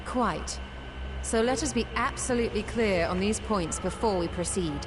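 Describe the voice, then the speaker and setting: An actress playing a composed, shrewd scholarly adviser in a video game, with formal, precise, old-fashioned phrasing. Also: female voice, medium pitch, moderate pace, noisy background, close to an everyday voice. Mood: composed, instructive. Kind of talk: video game cutscene acting.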